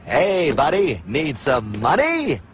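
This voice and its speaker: A man's voice: on the high side, wildly swooping pitch, slow pace, slight background noise, exaggerated voice. A man voicing a slick, pushy, chummy banker peddling loans in a cartoon. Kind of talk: animated cartoon dialogue. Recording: music behind the voice.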